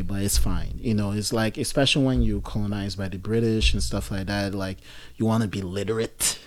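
A man's voice: on the low side, a little mellow. A man in his thirties from Nigeria, talking casually and reflectively in a conversation, with lots of fillers and restarts. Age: 30s. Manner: reflective, rambling, many fillers. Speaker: guest.

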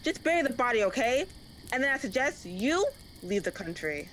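An actress playing a vain, scheming young wife who snaps orders with scorn, in a student radio comedy. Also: female voice, on the high side, sing-song, moderate pace, fairly bright tone, a little hiss or hum, poor audio. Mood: bossy. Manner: snappy, scornful and bossy. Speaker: actor.

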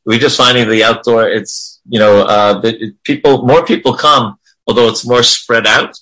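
A man's voice: mid-range voice, steady tone, moderate pace, sharp tone, rough recording. A man leading an informal religious class in an encouraging, affirming way. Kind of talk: informal spiritual class discussion.

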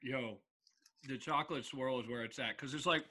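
A young man co-hosting a casual podcast, joking with quick asides. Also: medium pitch. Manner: jokey, animated, quick asides. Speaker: co-host.

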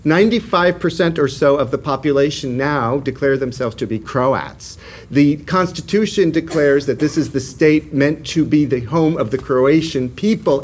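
A man giving an academic lecture, halting often with fillers and restarts, his pitch rising and falling with emphasis. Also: slight background noise.

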